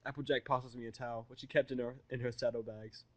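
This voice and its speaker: A man's voice: medium pitch, little pitch movement, fast, fairly bright tone, no room sound. Man narrating a story, very dry sound. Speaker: fan fiction narrator. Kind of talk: first-person present-tense story narration.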